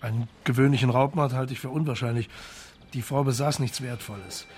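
An actor playing a reserved police marshal, speaking in a measured, probing, matter-of-fact way in a German radio crime drama. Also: male voice, medium pitch, fairly even intonation, darkish tone, slight background noise, lightly acted. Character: sober, reserved male Italian police marshal investigating.

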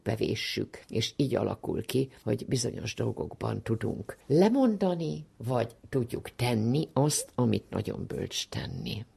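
An older speaker giving a reflective, explanatory talk in an emphatic, didactic way, with a gravelly, husky voice. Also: mid-range voice, darkish tone.

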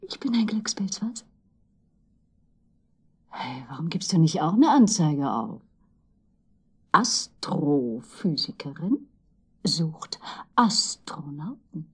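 Giddy lovestruck lonely lady, radio play, super breathy n whispery, voice swings around a lot, music behind. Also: lowish voice, big pitch swings, heavily acted. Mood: excited, confiding. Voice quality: breathy, often dropping to a whisper. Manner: halting, emotional, swinging between murmur and outburst. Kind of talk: intimate radio drama monologue.

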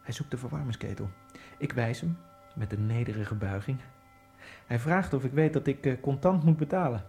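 An actor playing a nervous, wryly ironic Egyptian immigrant, narrating his thoughts in a hushed first-person monologue. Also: mid-range voice, moderate pace, soft background music, lightly acted. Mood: brooding. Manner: hushed, brooding inner monologue.

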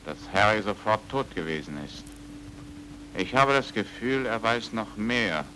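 A man's voice: fairly low pitch, expressive, measured pace, sharp tone, slight background noise, low-quality recording. An actor playing a dogged, suspicious man investigating in a dubbed film noir, terse and insistent. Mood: suspicious, pressing.

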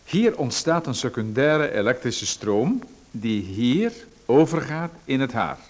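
An actor playing a pushy male salesman, lecturing smoothly and persuasively about a dubious hair machine. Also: medium pitch, big pitch swings, takes it slowly. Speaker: radio drama actor. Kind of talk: radio play acting.

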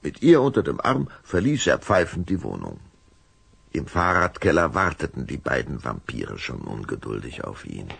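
A man narrating a scripted radio play story in an expressive storytelling manner. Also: a bass voice, normal speed, creaky, slightly rough, no echo.

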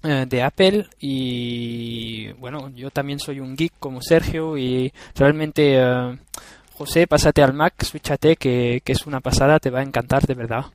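A man's voice: medium pitch, fairly even intonation, unhurried, no echo. A man with a French accent, a native French speaker, leaving a hesitant, friendly voice message to a podcast. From France. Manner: hesitant, many fillers and restarts. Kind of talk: casual recorded message.

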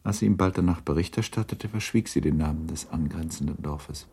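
An actor as the sober narrator of a classic novel in a radio play, measured and detached, with a gravelly voice. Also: male voice, very deep voice, mellow, heavily acted. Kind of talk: literary scripted narration.

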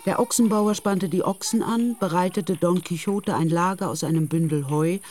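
An actor narrating a literary chivalric tale in a radio play, in a measured storytelling manner. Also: male voice, high voice, darkish tone, natural voice.

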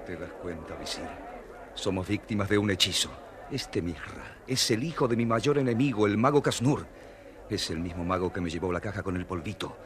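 An actor playing a proud, bold caliph turned into a stork in a children's audio storybook, theatrical and commanding. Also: male voice, low voice, expressive, normal speed, crowd noise. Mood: brooding, indignant.

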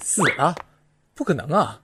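A man's voice: fairly high pitch, big pitch swings, a little slow, noisy background. An actor playing a brusque, unbothered male veterinarian in an audio drama, curt and teasing. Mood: startled, annoyed. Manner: offhand, curt, mocking. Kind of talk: modern audio drama acting.

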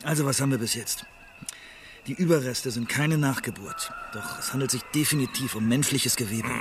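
Clear ambient noise surrounds an actor as a male forensic investigator in a radio drama. His mid-pitched voice is halting and exasperated, that of a man under pressure. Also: natural voice. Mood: impatient, businesslike. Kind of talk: naturalistic German radio play acting.

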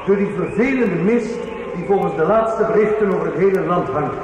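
Male radio-play showman, played by an actor: high-pitched, declamatory, mock-formal, set in a cavernous reverberant hall. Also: moderate pace, a little mellow, close to an everyday voice. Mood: theatrical, mock-formal. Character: theatrical showman announcer questioning and presenting a singer. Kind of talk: theatrical radio play acting. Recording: very echoey hall with long reverb.

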